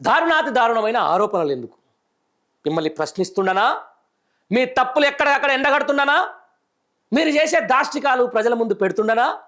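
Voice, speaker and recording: Male news host, middle-aged, Telangana accent. High voice, indignant and emphatic, firing rhetorical questions in live political commentary. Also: expressive, fairly quick, pauses often, a bit bright. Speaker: news show host, journalist.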